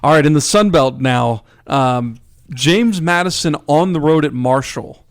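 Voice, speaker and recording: A man's voice: fairly high pitch, measured pace. A man co-hosting a sports radio show, talking casually through game picks.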